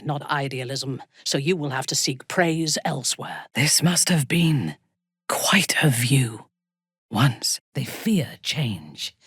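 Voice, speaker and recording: A woman playing a cold, ruthless female dark elf warrior in a video game, speaking in a husky voice with slow, haughty deliberation. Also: sits low, no room sound, clean studio sound, full character voice. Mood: cold, composed.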